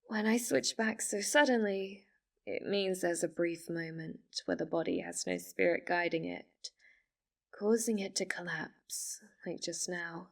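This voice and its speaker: A woman playing a polite, obsessively devoted fox spirit in an ASMR roleplay, speaking in formal, composed phrases with quiet menace. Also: fairly low pitch, big pitch swings, gravelly, recorded from a distance, full character voice. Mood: calm, reassuring. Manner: formal, measured, composed wording.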